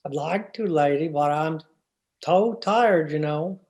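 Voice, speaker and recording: An actor playing a weary, long-suffering husband in old-time radio comedy, with a dry manner. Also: male voice, a little high, takes it slowly, darkish tone. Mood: sleepy.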